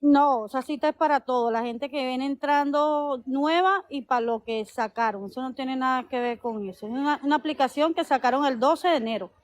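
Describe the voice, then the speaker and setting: A woman's voice: high-pitched, quick pace, hardly any pauses. A woman from Venezuela, a guest on a call-in show, answering insistently and defending her case. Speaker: interview guest. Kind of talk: call-in interview.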